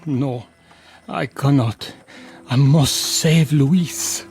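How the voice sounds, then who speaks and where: A man's voice: mid-range voice, very slow, darkish tone, faint music behind. An actor playing a weary, desperate old father in a television western drama. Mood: grim, desperate. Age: older. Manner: weary, solemn. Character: grieving, desperate old Mexican village father.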